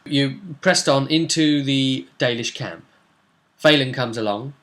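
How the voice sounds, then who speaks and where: A man's voice: mid-range voice, a little slow, a bit bright. A man casually recounting a tabletop role-playing session as its game master.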